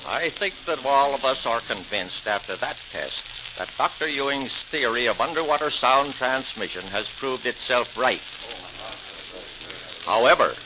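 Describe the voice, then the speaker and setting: A man plays a senior official in a vintage radio docudrama, formal and decisive. His mid-pitched voice has lively, emphatic swings, with strong hiss and crackle from the old recording. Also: close to an everyday voice. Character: authoritative senior male official presiding over scientific tests. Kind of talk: 1940s-style radio docudrama acting. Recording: noisy, sizzling old-time radio recording.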